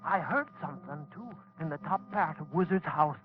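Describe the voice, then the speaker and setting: An actor playing a nervous young farm lad who talks in rustic dialect, in 1940s radio drama style. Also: male voice, a little high, lively intonation, normal speed, faint music behind, lightly acted. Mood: uneasy. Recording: dull old radio broadcast.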